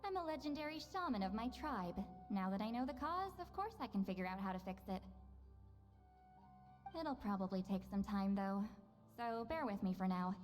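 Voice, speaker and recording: A woman's voice: mid-range voice, close to an everyday voice. A woman playing a proud, teasing young shaman in a video game, haughty and playful but easily flustered. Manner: playful, haughty, hesitant when embarrassed. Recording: long reverb, faint music behind.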